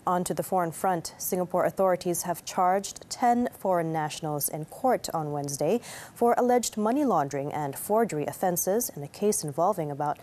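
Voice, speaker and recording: A woman's voice: on the low side, moderate pace, darkish tone. A woman reading scripted news as an anchor.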